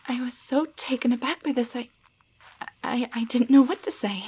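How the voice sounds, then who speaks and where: A woman playing a timid young waitress shrunk into a doll, in a roleplay audio drama, speaking in a halting, stammering way. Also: on the high side, warm tone, a little hiss or hum, lightly acted, low-quality recording. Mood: shaken, recounting.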